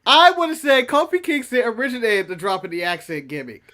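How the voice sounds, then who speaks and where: Bright-voiced joking male podcast co-host. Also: very high-pitched, fairly quick. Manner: joking, animated, laughs often. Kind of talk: casual wrestling review chat.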